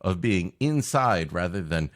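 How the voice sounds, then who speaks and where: A man co-hosting a podcast with improvised comic banter in a halting, mock-earnest, repetitive way. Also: sits low, lively intonation. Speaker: podcast co-host.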